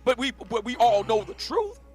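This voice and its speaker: A man's voice: very high voice, sing-song, moderate pace. A man in a played clip giving a boastful, preachy monologue. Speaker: dating commentator. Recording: clip with faint music.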